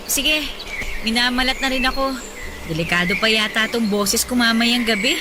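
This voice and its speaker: A woman's voice: on the high side, moderate pace, very noisy, lightly acted. A woman with a Filipino accent playing a feisty, playful young band singer in a radio drama. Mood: mock offended. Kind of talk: Tagalog radio drama dialogue. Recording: loud music bed under the voice.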